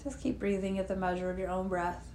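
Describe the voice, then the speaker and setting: A woman guiding a relaxed live meditation session, gently talking, with personal asides, sniffly from allergies. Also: mid-range voice, quick pace. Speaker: meditation guide. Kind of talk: guided meditation talk. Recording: echoey room with faint noise.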